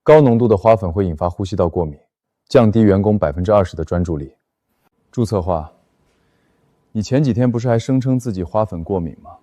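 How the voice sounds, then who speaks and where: An actor playing a cold, possessive boss in a dubbed animated romance drama, speaking in a stern, formal, cutting way. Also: male voice, on the low side, fairly quick, dry recording, lightly acted. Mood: cold sarcasm. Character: cold, possessive young male boss, icy and sarcastic. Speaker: company boss.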